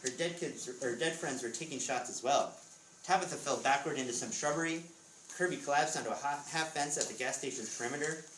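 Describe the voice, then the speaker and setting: A man's voice: a little high, brisk, fairly bright tone. Man reading aloud in an echoey room. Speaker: author reading from his book at a school readers theatre. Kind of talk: expressive storybook reading with casual asides. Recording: echoey room, distant mic, background noise.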